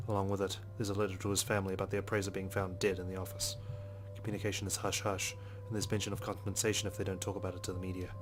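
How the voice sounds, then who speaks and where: An actor as a low-voiced, serious police detective. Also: male voice, background noise, natural voice. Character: dogged, skeptical male police detective, inner narrator and speaker. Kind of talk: scripted visual novel narration and dialogue. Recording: music behind the voice.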